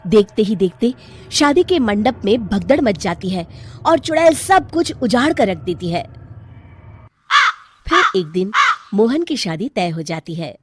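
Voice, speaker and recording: A woman's voice: medium pitch, sing-song, unhurried, a little hiss or hum. A woman narrating a scripted children's story as the storyteller.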